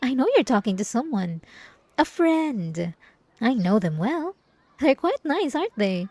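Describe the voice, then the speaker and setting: A woman playing a possessive, obsessive ex-girlfriend in an ASMR roleplay, with drawn-out, cooing condescension and sweet menace. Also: fairly high pitch, sing-song, darkish tone, no echo, full character voice. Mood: mocking. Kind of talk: ASMR roleplay monologue to the listener.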